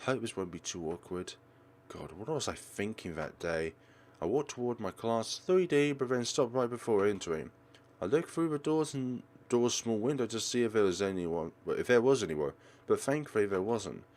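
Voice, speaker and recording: A man's voice: on the low side, animated, moderate pace, a little mellow. A man reading a visual novel aloud with dramatic delivery, adding his own commentary as a let's player.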